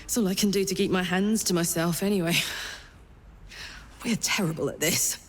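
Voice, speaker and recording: Woman playing a low-voiced, restrained woman adventurer. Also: normal speed, slight background noise, natural voice. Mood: wry, longing. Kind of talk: dramatic video game acting.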